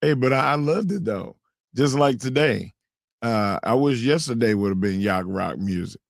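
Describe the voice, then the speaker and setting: A man co-hosting sports talk radio with a hoarse voice, speaking in halting bursts broken by fillers and restarts. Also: mid-range voice, expressive, dry recording, clean studio sound. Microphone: studio broadcast mic.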